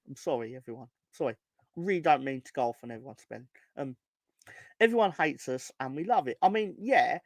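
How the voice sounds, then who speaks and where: A hoarse man ranting on a casual football fan podcast, swearing and rambling with many restarts. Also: sits high, no room sound. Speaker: podcast co-host.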